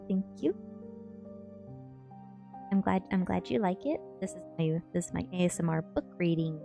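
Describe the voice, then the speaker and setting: A woman's voice: mid-range voice, gravelly, warm tone. A sleepy woman streamer doing an ASMR book reading and chatting casually with viewers. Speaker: streamer reading books aloud. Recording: music playing behind the voice. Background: music.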